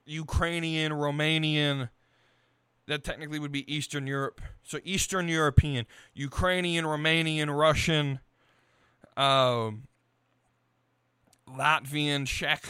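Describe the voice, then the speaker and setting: A male podcast host with a fairly high, smooth and clear voice. He talks casually and opinionatedly, blunt and quick to swear. The sound is dry and close. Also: fairly bright tone, dry recording. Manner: blunt, profane.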